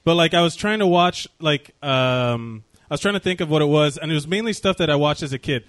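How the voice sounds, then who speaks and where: A man's voice: on the high side, fairly quick, fairly bright tone, dry recording. A man co-hosting a casual podcast, rambling and profane, full of fillers, restarts and trailing thoughts. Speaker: podcast co-host.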